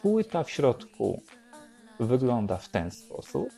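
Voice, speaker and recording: A man narrating an unhurried show-and-tell as host, in a measured, explanatory way. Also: mid-range voice, wildly swooping pitch, vocal fry, mellow, soft background music. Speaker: host presenting a record collection.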